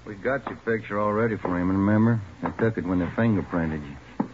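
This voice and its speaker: An actor playing a steady, needling detective in a 1940s radio crime drama, speaking firmly and plainly. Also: male voice, low-pitched, fairly quick, lightly acted. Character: steady, needling male police detective partner. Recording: dull, noisy old radio recording.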